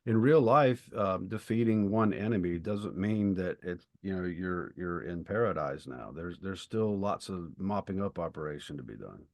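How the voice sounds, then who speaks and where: A man co-hosting a podcast, explaining ideas in a thoughtful, halting way in casual discussion. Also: deep voice, expressive, a little mellow, no echo, studio quality. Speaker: podcast co-host and writer.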